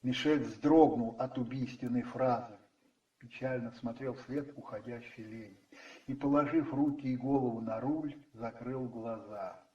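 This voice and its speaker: A man narrating a radio play dramatically from a script, in measured phrases with pauses. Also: medium pitch, fairly even intonation, muffled recording. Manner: measured, pausing between phrases. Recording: roomy echo, distant, faint music behind.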